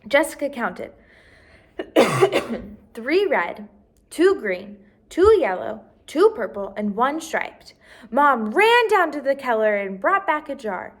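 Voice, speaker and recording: A woman's voice: a little high, big pitch swings, slow pace. A woman reading a children's picture book aloud as a storyteller, expressively and engaging her listeners with questions.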